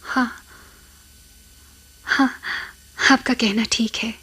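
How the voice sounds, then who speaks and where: Sorrowful, high-voiced young wife. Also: female voice, fairly even intonation, sharp tone, husky, exaggerated voice. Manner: sorrowful, halting, dramatic. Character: despairing unhappy young married woman, bitter and hesitant. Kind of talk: Hindi radio play acting.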